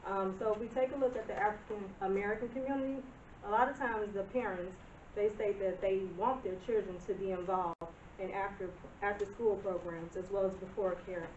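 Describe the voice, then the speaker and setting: A woman's voice: mid-range voice, steady tone, darkish tone, a little hiss or hum. Nervous woman presenting at a board meeting. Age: 30s. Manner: nervous, halting, laughs at herself. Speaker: business presenter. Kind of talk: public comment at a meeting. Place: village board meeting room. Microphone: far camera. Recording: distant roomy lo-fi meeting video.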